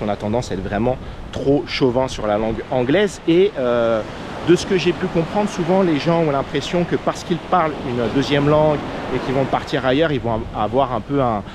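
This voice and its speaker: A man from France with a French accent, giving casual advice as a vlogger in an earnest way, with many fillers. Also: mid-range voice. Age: under 40. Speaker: vlogger, expat coach. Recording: outdoor noise of waves and traffic.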